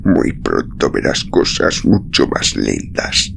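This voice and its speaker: Man acting a gravelly, exhausted desert traveler. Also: big pitch swings, exaggerated voice. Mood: weary, explaining. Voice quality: very low, breathy, gravelly murmur. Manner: slow, halting, tender. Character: exhausted dying traveler, gently protective of a small girl. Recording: clearly noisy recording.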